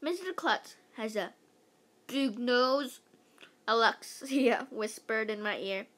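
A female voice giving an animated read-aloud of a children's book, stumbling over words now and then and adding casual asides. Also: a little high, slow.

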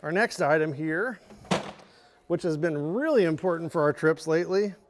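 A man's voice: fairly high pitch, moderate pace, background noise. A man in his thirties casually walking through products in a large indoor showroom, chatty with lots of "um" and "right?". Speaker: store product presenter.

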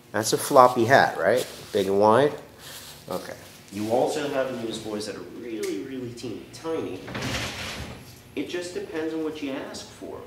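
A man, stuffy from allergies, chatting casually about caps in his hat shop while trying them on for the camera. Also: lowish voice. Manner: chatty, animated, trying on hats while talking. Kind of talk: casual product talk to camera. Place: large hat shop with wooden shelves, indoors. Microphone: camera on the counter, moves in and out of frame. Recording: roomy shop echo, faint background hum.

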